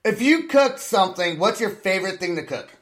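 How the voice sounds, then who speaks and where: A man's voice: high-pitched, animated, a little fast, fairly bright tone. A man from California hosting a podcast, chatting casually and joking, with frequent laughs and repeated words. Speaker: podcast host. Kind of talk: casual chat.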